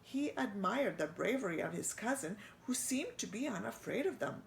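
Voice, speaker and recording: A woman's voice: medium pitch, normal speed, gravelly, a little hiss or hum, low-quality recording. A woman reading a children's storybook aloud, stopping to ask her young listeners questions. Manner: expressive, asks listeners questions. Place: church.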